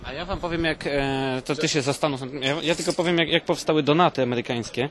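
A man improvising a mock legend in colloquial, deadpan comic talk, repeating himself as he goes. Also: a little high, fast, a crowd in the background. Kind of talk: improvised comic storytelling and banter.

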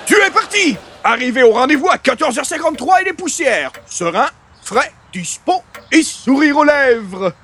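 A comic radio-play huckster, very high and piercingly bright in voice. He is pompous and excitable, swooping wildly through florid sales patter. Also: normal speed, a little hiss or hum, full character voice. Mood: grandiose sales pitch. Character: excitable, grandiloquent male huckster peddling an absurd invention. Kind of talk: comic radio play acting in old-time sitcom format.

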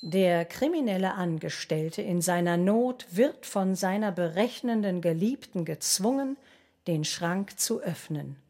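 A woman's voice: fairly low pitch, expressive, a little slow, warm tone. A woman reading scripted story narration in a radio play.

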